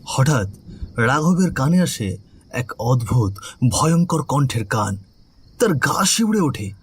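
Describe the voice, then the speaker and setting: A man's voice: mid-range voice, very animated, slow pace, breathy edge. A man giving a scripted, suspenseful narration of a story. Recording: faint rain noise behind voice. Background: rain ambience.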